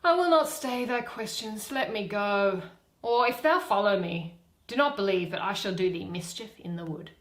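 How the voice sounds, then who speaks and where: A woman's voice: medium pitch, normal speed, echoey room, close to an everyday voice. A woman playing an exasperated young Athenian man in a dramatic Shakespeare reading, emphatic and declamatory. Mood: impatient. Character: scornful young Athenian man, exasperated, spurning a lovesick pursuer.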